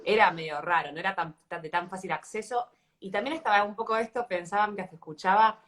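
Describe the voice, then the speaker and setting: A woman's voice: mid-range voice, quick pace, a bit bright. A woman in her thirties with an Argentine accent, interviewing in a casual, reflective way as she searches for her words. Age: 30s. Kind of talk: casual live interview chat.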